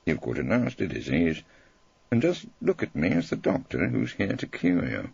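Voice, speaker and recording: Guy doing a witty old barrister, wry and grand, husky deep voice, dull recording. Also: wildly swooping pitch, moderate pace, a little mellow, full character voice. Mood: teasing. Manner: wry, florid, mock-courteous.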